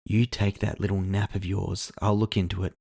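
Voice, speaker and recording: A man voicing a brash, sharp-tongued woman with a casual, sardonic, teasing manner in a cyberpunk audio drama. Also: sits low, flat delivery, moderate pace, creaky. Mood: matter-of-fact. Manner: casual, sardonic, foul-mouthed. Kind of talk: audio fiction character dialogue.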